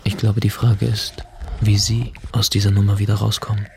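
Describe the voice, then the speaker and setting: An actor playing a young working-class blackmailer, radicalised and contemptuous, politely threatening and taunting in a naturalistic radio crime drama. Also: male voice, on the low side, little pitch movement, normal speed, vocal fry, a little mellow, slight background noise. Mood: cold menace.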